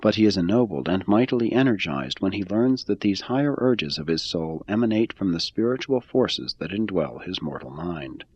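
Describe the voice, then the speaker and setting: A man reading a religious text aloud in a measured, even-paced scripted style. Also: deep voice, husky, no echo, highs cut off. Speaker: reader.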